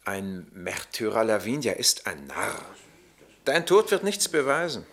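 An actor in a radio drama playing a stern Roman army captain who makes formal, clipped, coolly ironic pronouncements. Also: male voice, lowish voice, very animated, unhurried, a bit bright, exaggerated voice. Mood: dry, subdued.

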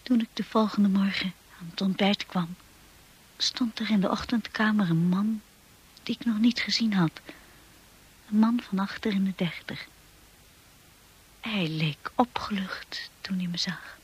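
Breathy, insecure young wife. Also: female voice, medium pitch, unhurried, long pauses, low-quality recording. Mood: wistful, uneasy. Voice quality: breathy, hushed. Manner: hesitant, timid, apologetic. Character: shy, insecure young wife narrating her memories. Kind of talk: radio drama narration and acting.

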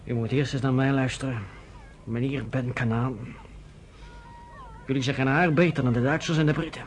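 A man's voice: medium pitch, fairly even intonation, normal speed, slight background noise, close to an everyday voice. An actor playing a bitter, defiant young man in a radio drama. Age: young adult.

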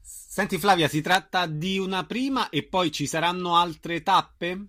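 A man's voice: sits high, steady tone, a little slow, pushed and bright. A man, interviewing a guest as a radio host in a conversational style.

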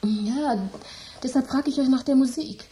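A woman's voice: high voice, normal speed, dark tone, natural voice, low-quality recording. A woman playing Rachel, a fretful hostess. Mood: frightened. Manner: fretful, scolding. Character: fussy hostess, woman, middle-aged, house-proud. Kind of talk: radio play acting.

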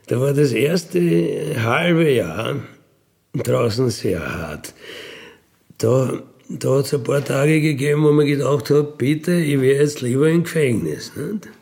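An older man with an Austrian accent telling a reflective personal story in a halting, colloquial way with little questioning tags. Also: a little high, creaky, darkish tone. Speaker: former prisoner telling their life story. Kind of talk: reflective autobiographical monologue.

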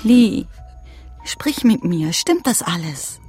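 An anxious, earnest woman in a radio crime play, devoted and disbelieving. Her voice sits a little low and she speaks in a questioning way, with faint music behind. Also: big pitch swings, slow, a little mellow, slightly rough, exaggerated voice. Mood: distraught, tender. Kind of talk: German radio crime drama acting.

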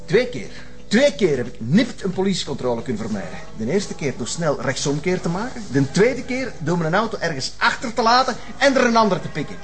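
A man's voice: high-pitched, big pitch swings, a little fast, a bit bright, soft background music. A man with a Flemish accent playing a menacing, bitter kidnapper who taunts a captive in a television crime drama. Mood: menacing, resentful. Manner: taunting, controlling, sinister.

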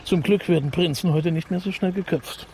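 An actor playing a polite, opera-loving police detective in a radio play, musing and probing gently in a roundabout way. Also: male voice, sits high, fairly quick, slight background noise, low-quality recording. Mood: courteous, wry. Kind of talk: naturalistic radio drama acting.

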